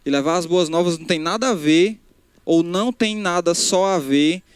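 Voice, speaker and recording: Young preacher, higher-pitched voice, smooth and clear, dry close mic, speaks conversationally to a live crowd. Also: male voice, normal speed, no room sound. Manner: conversational, addresses crowd directly, frequent restarts. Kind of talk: casual live sermon. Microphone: handheld microphone.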